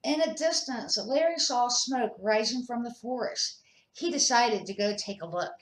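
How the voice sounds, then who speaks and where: An older woman, a grandmother, reading a children's storybook aloud in an expressive amateur way. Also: fairly high pitch, normal speed. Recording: echoey room.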